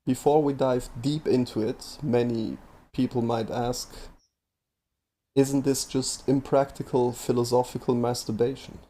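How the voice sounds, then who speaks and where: Man, Swiss German accent, scripted presentation, measured, mellow, dark tone. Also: mid-range voice, fairly even intonation. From Switzerland. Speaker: video presenter.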